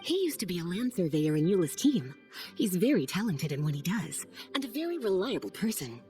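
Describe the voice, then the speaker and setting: A woman's voice: fairly low pitch, big pitch swings, brisk, dark tone. A woman voicing a composed, affectionately teasing lady knight in a video game, with a coy and poised manner. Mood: composed, explaining. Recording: faint music behind.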